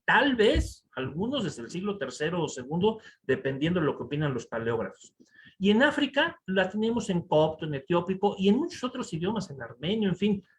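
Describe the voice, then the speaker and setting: A man with a Mexican accent giving a conference lecture over slides, animated and full of rhetorical asides to the audience. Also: high voice, fairly quick, a little hiss or hum. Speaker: conference speaker. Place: conference hall.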